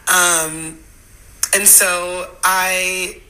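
A hoarse woman leaving a halting phone message for a podcast, with many pauses and restarts. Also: fairly low pitch, little pitch movement, bright, forceful tone, poor audio. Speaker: listener contributor. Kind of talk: recorded voice message. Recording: phone voice memo with faint noise.

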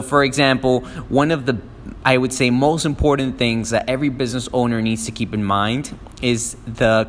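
A man's voice: medium pitch, fairly bright tone. Rambling man in a reverberant room. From United States (Miami). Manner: casual, rambling, many ums and restarts. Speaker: podcast host. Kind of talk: solo podcast monologue. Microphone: phone app.

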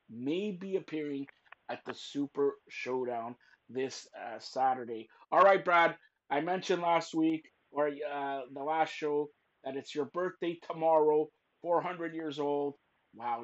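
A man hosting a wrestling podcast, talking loudly and teasing his guests, rambling with lots of fillers. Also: on the high side, dull audio. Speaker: podcast host. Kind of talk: loud joking wrestling podcast chat.

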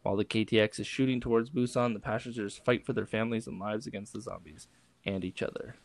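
A man's voice: on the low side, steady tone, fast talker. A man co-hosting a casual movie review podcast, swearing freely and trailing off mid-thought. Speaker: podcast co-host.

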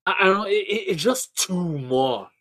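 A man's voice: high-pitched, expressive, normal speed, a bit bright. A man co-hosting a podcast, giving a blunt, sweary movie review in casual chat, often stammering and repeating words as he goes. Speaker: podcast co-host.

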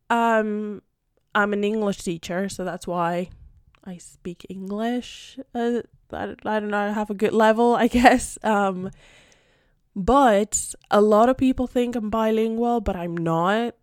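A woman's voice: medium pitch, unhurried, darkish tone, clear, resonant tone, no room sound, clean studio sound. A young woman from Spain, a native Spanish speaker, chatting casually and a bit rambling on her podcast, full of fillers. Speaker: podcast host and English teacher. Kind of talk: casual solo podcast chat.

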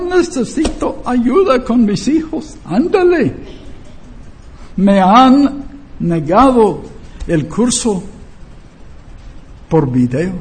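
Slow-paced older preacher. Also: male voice, on the high side, darkish tone. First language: not a native Spanish speaker. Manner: emphatic, repeats key phrases and checks understanding. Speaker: preacher and Bible teacher. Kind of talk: church teaching sermon with rhetorical questions.

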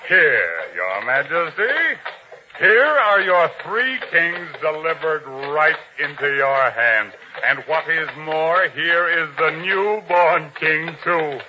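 A man's voice: a little high, lively intonation, slow, background noise. An actor playing an ambitious, domineering palace officer in an old radio drama, commanding and menacing as he speaks. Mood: gloating, ambitious. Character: ambitious, domineering male palace officer hunting three kings. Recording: dull old radio recording.

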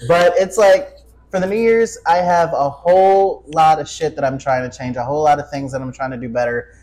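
Young guy in his 20s on a podcast, kinda high voice, cusses and jokes around. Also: fairly quick, poor audio. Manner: profane, joking, animated self-interruptions. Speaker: podcast host. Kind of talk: casual chat.

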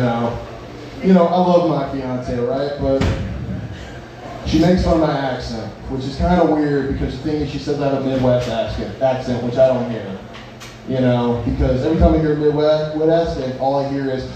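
A young man doing crude, rambling stand-up, restarting jokes and mocking himself as he chats with the crowd. His voice sits at an ordinary pitch. It comes from a distance in an echoey bar, with steady noise all around. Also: a little fast. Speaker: stand-up comedian. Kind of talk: open-mic stand-up set with crowd work. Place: bar open mic. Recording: echoey bar from far off, noisy.

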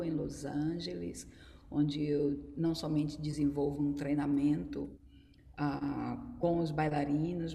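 A woman's voice: low voice, creaky, warm tone. A middle-aged Brazilian woman with a Bahian accent, introducing herself casually as a guest dance teacher. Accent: Bahian Brazilian Portuguese. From Salvador, Bahia, Brazil. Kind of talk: casual spoken introduction in an online conversation. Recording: roomy long reverb with faint noise.

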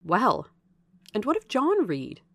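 A woman's voice: mid-range voice, huge pitch swings, slow pace, fairly bright tone, no room sound, clean studio sound, pitched above the performer's own voice, exaggerated voice. A woman voicing an eager, affectionate young governess in a storybook, asking questions in a genteel manner. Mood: inquisitive. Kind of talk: storybook character dialogue.

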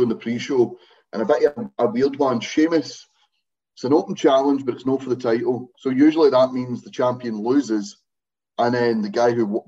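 A man's voice: medium pitch, warm tone. A man co-hosting a podcast in casual chat, recapping matches with a dry, wry manner. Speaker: podcast co-host. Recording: dull lo-fi podcast mic.

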